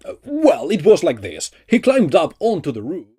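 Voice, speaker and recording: A man's voice: on the high side, wildly swooping pitch, moderate pace, warm tone, husky, full character voice. A man playing a gallant, theatrical opera singer of old times in an audio drama, speaking floridly and expressively. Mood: earnest.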